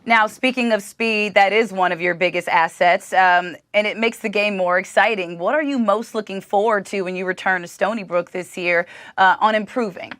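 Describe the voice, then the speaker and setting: A woman asking an athlete interview questions in a measured way. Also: medium pitch, fairly bright tone, dry recording, studio quality.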